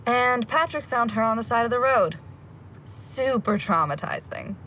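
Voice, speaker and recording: A woman's voice: on the high side, lively intonation, normal speed, bright, forceful tone, dull audio, a little hiss or hum. A woman playing a blunt, bossy, outspoken young woman in an audio drama. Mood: confiding. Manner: outspoken, sweary.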